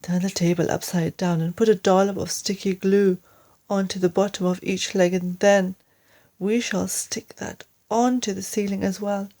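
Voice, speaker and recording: A woman voicing a bossy, excitable father monkey in a children's storybook, snappy and urgent as he gives orders. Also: mid-range voice, normal speed, close to an everyday voice. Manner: shouting orders, exclamatory.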